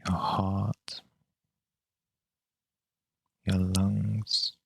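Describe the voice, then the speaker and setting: A man leading a guided meditation in a calm, measured, unhurried way with long pauses between phrases. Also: sits low, creaky, husky, dry recording.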